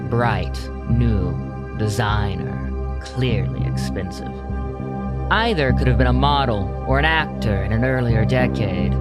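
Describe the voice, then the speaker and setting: A man giving measured, portentous scripted narration for an audio drama. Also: lowish voice.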